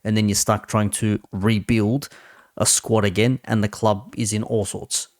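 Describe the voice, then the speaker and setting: A man with a low, gravelly voice delivers off-the-cuff sports commentary in a dry, close recording. He argues sarcastically, repeating himself and posing rhetorical questions. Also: flat delivery, unhurried, dry recording. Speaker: host giving football opinion.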